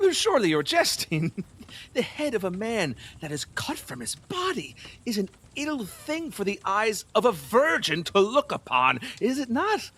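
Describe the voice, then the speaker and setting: An actor playing a lustful, fearful, superstitious middle-aged king in an audio play, husky, wheedling and erratic, with nervous laughs and halting repeats. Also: high voice, wildly swooping pitch, a bit bright, a little hiss or hum, heavily acted. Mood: wheedling, pleading. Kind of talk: theatrical audio play acting.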